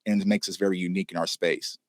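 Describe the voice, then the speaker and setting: A man from Oklahoma and Texas speaks as a football reporter in a casual interview. He talks quickly in a lowish voice with a very dry, close sound, spinning anecdotes conversationally. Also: level tone, dry recording. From Oklahoma and Texas, United States. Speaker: football reporter and YouTube host, as guest.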